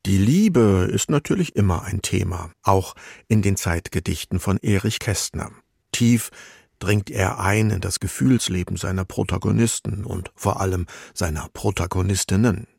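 A man reads a scripted music feature as a radio presenter, in a measured, explanatory manner. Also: low voice, vocal fry, a little mellow, husky, no echo, clean studio sound.

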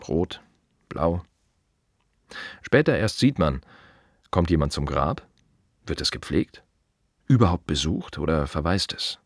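An actor playing a wry, brooding gravedigger in a radio drama monologue, speaking in clipped fragments with pointed repetitions. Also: male voice, deep voice, breathy edge, no room sound, studio quality, heavily acted. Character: wry, brooding male gravedigger musing on the dead.

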